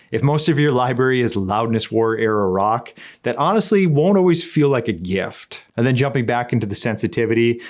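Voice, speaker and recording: A man narrating a conversational scripted review as an equipment reviewer, explaining things in a plain-spoken, opinionated way. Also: medium pitch.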